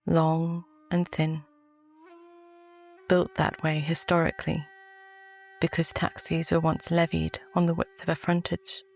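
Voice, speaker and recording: A woman with a British accent gently narrates a guided sleep meditation in a soft, calm, soothing voice. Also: fairly low pitch, vocal fry.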